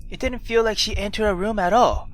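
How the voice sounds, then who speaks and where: A game let's player reading a visual novel aloud expressively, dropping into whispers at tense moments and adding excitable reactions. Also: mid-range voice, fairly quick. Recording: background music under the voice.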